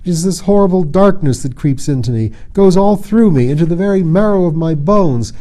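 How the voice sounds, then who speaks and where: A man's voice: fairly high pitch, lively intonation, a little fast, mellow, voice pushed up, close to an everyday voice. A man playing a frightened, proud young man in a storybook, sulky and dramatic, with an indignant, declamatory delivery. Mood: sulky, fearful.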